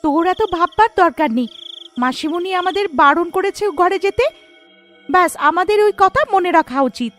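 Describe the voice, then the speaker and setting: Woman voicing a high-pitched, fearful young girl. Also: normal speed, fairly bright tone, faint music behind. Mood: scolding. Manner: urgent, pleading, fearful. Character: frightened young girl, bossy older sister. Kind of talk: cartoon horror story acting.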